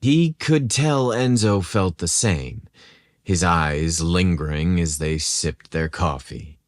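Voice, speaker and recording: A man narrating a scripted romantic story in an intimate, unhurried way. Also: low-pitched, dry recording, studio quality.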